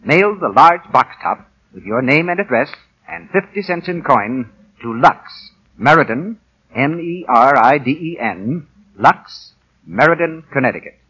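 A man's voice: a little high, expressive, measured pace, pushed and bright, slightly rough, low-quality recording. A man announcing for a sponsor on 1940s radio, with a polished, emphatic sales delivery, on an old broadcast recording.

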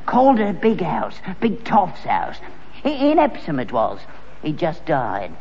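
Sitcom role: crafty old Cockney father, lively swings in pitch, stammering and scoffing, on a dull archive recording. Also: a little slow, in a large hall, slight background noise. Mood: gleeful storytelling. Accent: London Cockney. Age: older. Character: stubborn, crafty old working-class London father. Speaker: sitcom actor. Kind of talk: vintage British sitcom acting.

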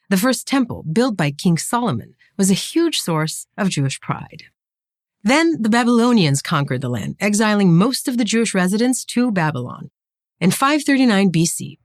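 Animated woman presenter. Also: medium pitch, no echo, studio quality. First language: Hebrew. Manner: emphatic, with wry asides. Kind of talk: scripted educational narration.

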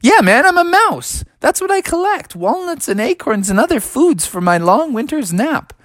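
Storybook creature: friendly little mouse, voiced by a man, pitched way up, casual and apologetic, lively swoops. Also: normal speed, dry recording, clean studio sound, exaggerated voice. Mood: matter-of-fact. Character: small friendly male mouse, laid-back nut hoarder.

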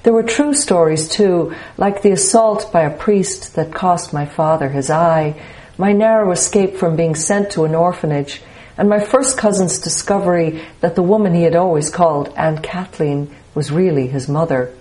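An older woman from Dublin with a softened Irish accent, reading her memoir and then talking it over in a measured, thoughtful way. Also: lowish voice, rough recording. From Ireland, Dublin. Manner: measured, thoughtful, lets a sentence trail and restart. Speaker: author and interview guest.

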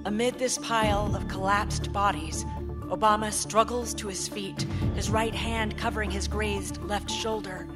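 A woman's voice: medium pitch, fairly even intonation, a little slow. A woman reading stage directions as the scripted narrator of a radio play. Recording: loud music under the voice.

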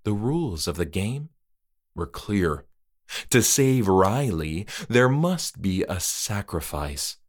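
Guy voicing a calm polite spooky trick-or-treater, low and unhurried. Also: exaggerated voice. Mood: coldly polite. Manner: calm, soft, measured and formal. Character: eerily polite male trick-or-treater spirit.

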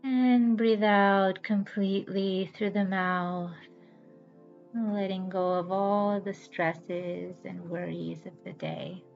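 A woman guiding a yoga nidra relaxation as host, with a soothing, measured manner and deliberate pauses. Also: mid-range voice. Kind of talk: guided relaxation meditation. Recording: slightly dull audio with background music. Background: music.

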